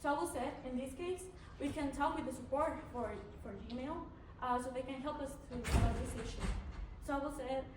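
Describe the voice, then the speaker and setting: A woman's voice: sits high, a little fast, very noisy. A young woman from Mexico, a native Spanish speaker with a Mexican accent, answering a panel's questions as a student candidate, hesitant with many fillers and restarts. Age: young adult. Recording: echoey room, from far off.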